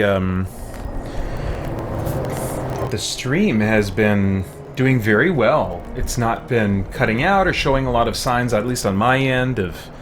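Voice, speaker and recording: A man hosting a casual livestream from home, talking in a rambling, hesitant way as he explains himself. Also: lowish voice. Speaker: livestream host. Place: indoors at home. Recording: clear background noise.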